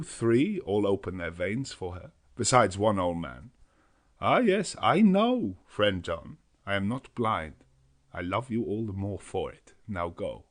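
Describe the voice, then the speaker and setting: A man voicing an elderly, earnest, fatherly professor, speaking in an emphatic, rising voice. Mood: fond. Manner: emphatic, rising to high pitch.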